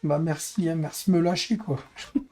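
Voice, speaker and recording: Hoarse man grumbling on a game stream. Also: a little high, normal speed, dark tone. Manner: grumbling, exasperated complaints. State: hoarse, losing his voice. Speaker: streamer and racing league head. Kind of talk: live racing game stream commentary.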